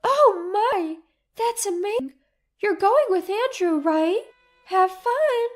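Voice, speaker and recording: A woman puts on a cheerful older mum from a story, gushing in a very high, lifted voice. Soft music sits underneath. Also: lively intonation, slow pace, full character voice. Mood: delighted, surprised. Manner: bright, gushing. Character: cheerful older mother of a grown daughter. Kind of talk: acted dialogue in a narrated story.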